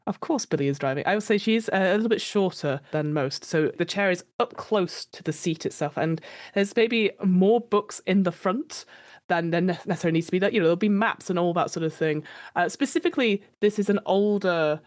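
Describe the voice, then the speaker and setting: A woman's voice: lowish voice, fairly quick, a little mellow. Chatty, rambling woman at a game table. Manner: chatty, rambling, self-questioning. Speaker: player in a roleplaying podcast. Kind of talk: casual improvised description for tabletop roleplay.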